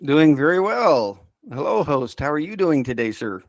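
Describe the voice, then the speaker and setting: A man's voice: on the high side, sing-song, moderate pace, dry recording. A man talking casually as a podcast expert, often hesitating and restarting his thoughts as he rambles. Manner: hesitant, rambling, self-interrupting. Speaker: podcast subject-matter expert. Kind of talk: casual chat.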